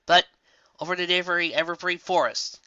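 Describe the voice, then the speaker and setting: Male narrator for fan fiction audiobook: expressive, forward tone, dry room, slightly lo-fi sound. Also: high voice, a little fast, clear, resonant tone, no echo.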